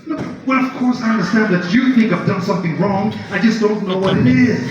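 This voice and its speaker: An actor playing a tipsy lawyer husband on a live stage, pleading with his wife and shouting and swearing as they argue. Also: high-pitched, fairly quick, over music, lightly acted. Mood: apologetic. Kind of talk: live stage play acting. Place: theatre stage. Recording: echoey hall, far mic, dull sound.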